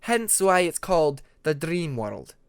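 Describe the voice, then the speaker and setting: A man's voice: a little high, big pitch swings, unhurried, vocal fry, clean tone, no echo, clean studio sound, full character voice. A man voicing a haughty, sceptical dragon with pointed, emphatic delivery in a fantasy audiobook. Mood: scornful. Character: haughty, sceptical Icewing dragon, probing and curt.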